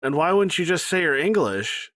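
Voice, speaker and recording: A man's voice: a little high, very animated, moderate pace, bright, forceful tone, dry recording, clean studio sound. A man co-hosting a podcast in casual chat, joking and emphatic. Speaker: podcast co-host. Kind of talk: casual chat, reality TV recap.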